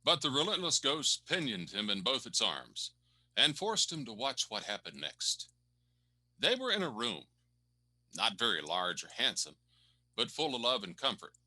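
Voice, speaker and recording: A man's voice: mid-range voice, big pitch swings, normal speed, sharp tone. A man narrating a radio play with dramatic, scripted storytelling.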